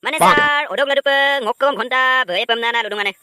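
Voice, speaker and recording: A performer voicing an excitable tattletale schoolchild in a cartoon comedy. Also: mid-range voice, moderate pace, no room sound, studio quality, lightly acted.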